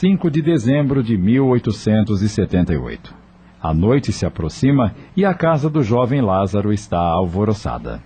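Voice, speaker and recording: A man narrating a radio drama from a script in an expressive, literary storytelling manner. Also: fairly low pitch, normal speed, a little hiss or hum.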